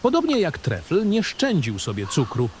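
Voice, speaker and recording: A man narrating a fantasy audio drama in an expressive, literary storytelling style. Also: on the high side, normal speed, a little hiss or hum. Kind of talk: scripted first-person literary narration.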